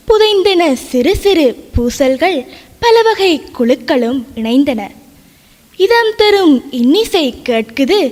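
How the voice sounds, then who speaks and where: A woman's voice: high-pitched, darkish tone. A woman giving a stirring, rhythmic poetry recitation at a live reading, in a declamatory manner. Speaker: poet reciting at a book launch. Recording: echoing hall reverb.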